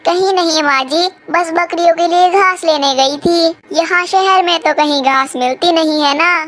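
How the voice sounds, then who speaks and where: Cartoon young village sparrow bride played by a woman: tiny high girlish voice, unhurried, sweet and polite. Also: a bit bright. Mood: meek, polite.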